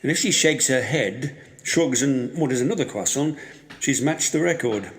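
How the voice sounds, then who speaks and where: A man's voice: mid-range voice, lively intonation, normal speed, lightly acted. An actor playing a wry, guarded widower in his sixties with dry, curt, formal delivery in an audio drama. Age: 60s. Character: gruff, wry 67-year-old widower, guarded and grieving.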